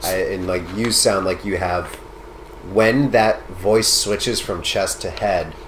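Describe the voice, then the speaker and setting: A man's voice: sits low, takes it slowly, rough recording. A man talking casually as he plays a video game on a live stream. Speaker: gamer playing on a live stream. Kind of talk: casual gameplay commentary and chat. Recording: steady room hum, air-conditioning noise.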